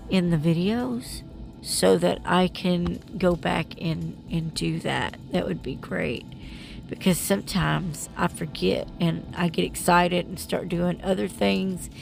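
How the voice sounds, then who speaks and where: A woman's voice: fairly low pitch, fairly even intonation, slow, vocal fry, soft background music. A woman vlogger narrating her beading in a casual voiceover, with a rambling, self-deprecating way of talking and a stuffy, sniffly nose.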